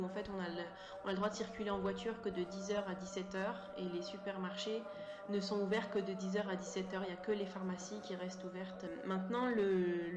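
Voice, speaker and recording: A woman in her thirties from France explains things informally as an interview guest, halting often and repeating words. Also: mid-range voice. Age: 30s. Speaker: interview guest, sociology researcher. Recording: roomy echo, background noise.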